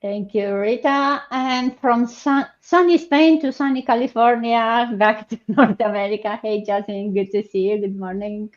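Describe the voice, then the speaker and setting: A woman hosting a live online panel over a video call, chatting in a relaxed way with filler words. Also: fairly high pitch, a little slow, few pauses. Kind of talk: casual presenting. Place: home, in Ontario. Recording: muffled lo-fi call audio.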